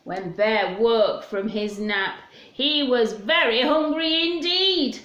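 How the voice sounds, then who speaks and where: A woman's voice: fairly high pitch, lively intonation, takes it slowly, a bit bright, roomy sound, highs cut off. A woman reading a story aloud to young children, speaking simply and expressively to her listeners.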